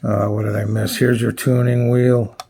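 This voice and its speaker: A man giving a casual, unscripted product walkthrough as a reviewer, plainspoken and halting as he thinks aloud. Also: medium pitch, flat delivery, dark tone, slight background noise. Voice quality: gravelly, husky. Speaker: radio reviewer presenting his channel.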